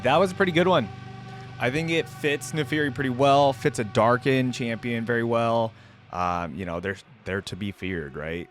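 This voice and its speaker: A man giving casual reaction commentary as a video host, thinking aloud as he goes. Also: medium pitch. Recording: music playing behind the voice. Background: game theme music.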